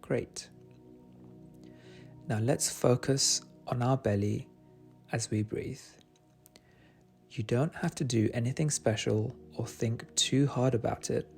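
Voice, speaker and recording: A man guiding a meditation in a soothing, gentle and unhurried manner. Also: medium pitch, vocal fry. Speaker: meditation guide. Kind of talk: guided meditation narration. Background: soft meditation music.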